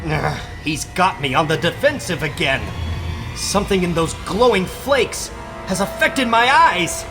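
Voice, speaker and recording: Guy doing a young comic book hero, wry n earnest, takes his time, pitch jumps around, music behind. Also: expressive, measured pace. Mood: alarmed. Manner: earnest, wry, melodramatic self-talk. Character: young male superhero, wisecracking, earnest, easily worried. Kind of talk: dramatic comic book reading.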